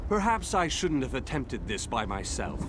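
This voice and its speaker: A man voicing a wise, grand mage in a fantasy video game, speaking in solemn, deliberate, theatrical phrases. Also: medium pitch, very animated, background noise, lightly acted. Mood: grave, resolute. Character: grand, wise male mage freed from captivity.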